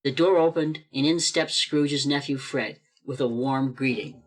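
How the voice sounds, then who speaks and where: A storyteller narrates a radio play in a theatrical style. Phrases are set out deliberately, with dramatic stress on each item. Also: mid-range voice. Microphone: video call microphone.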